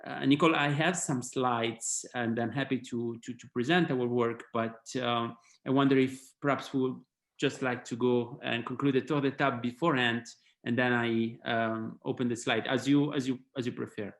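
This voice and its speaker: A man's voice: mid-range voice, fairly even intonation, normal speed. A man with an Italian accent, speaking hesitantly with many fillers as a panelist on a video call. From Italy. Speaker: panelist, head of policy at a sports human rights body. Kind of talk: online panel talk with slides.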